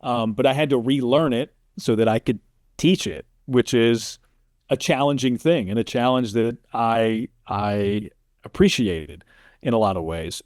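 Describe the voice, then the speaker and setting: Casting: middle-aged American male, medium-pitched, relaxed conversational interviewee, dry studio-like sound. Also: no echo. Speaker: interview guest, sports play-by-play announcer. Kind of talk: casual interview talk.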